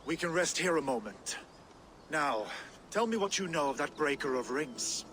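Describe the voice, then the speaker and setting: A vengeful Viking warlord. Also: male voice, a little high, lively intonation, moderate pace, fairly bright tone, a little hiss or hum. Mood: commanding. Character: vengeful Danish warlord, proud and fierce. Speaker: Viking chieftain character. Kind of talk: video game voice acting. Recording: game audio with music behind.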